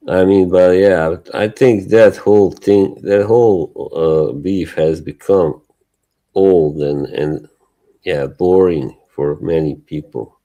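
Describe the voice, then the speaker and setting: Bosnian accent guy on a stream, real low voice, slow easygoing chat, chuckles a lot. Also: dark tone. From Bosnia and Herzegovina. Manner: laid-back, chuckles often, trailing filler words. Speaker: livestream co-host. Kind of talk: casual chat.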